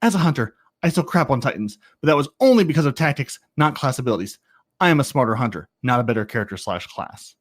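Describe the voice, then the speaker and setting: Indignant male gamer, acted out by a man, raised pitch, scornful, emphatic, podcast dramatic reading. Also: expressive, fast talker, slightly rough. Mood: defiant.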